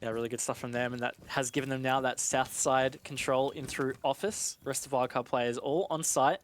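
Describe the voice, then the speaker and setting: A man's voice: mid-range voice, steady tone, moderate pace. A man giving analytical live commentary on an esports match in a conversational, explanatory way. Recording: clear game noise, gunfire behind.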